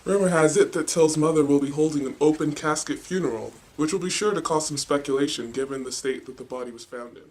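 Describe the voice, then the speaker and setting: A man's voice: a little high, fast talker, few pauses, a little mellow. A man reading scripted news stories as a newscast anchor.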